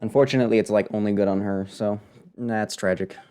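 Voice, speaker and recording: A man's voice: on the low side, steady tone, brisk, warm tone, clean tone. A man giving casual, slangy live commentary as a game streamer, wry and rambling. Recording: voice over faint game music.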